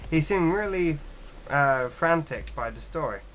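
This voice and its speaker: A man's voice: a little high, lively intonation, measured pace, lo-fi sound, a little hiss or hum. A man hosting a casual gaming read-aloud, jokey and sweary, stumbling a little as he reads. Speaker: gaming video host.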